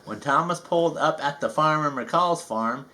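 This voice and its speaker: A man's voice: a little high, normal speed, pushed and bright. A man reading a children's storybook aloud, folksy and playful, with chatty asides. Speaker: storybook reader.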